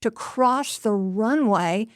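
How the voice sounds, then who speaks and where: A woman working as a news correspondent, explaining a story in a deliberate way, restating key points as she goes. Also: fairly high pitch, lively intonation, dry recording, studio quality. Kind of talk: news report, semi-scripted explanation.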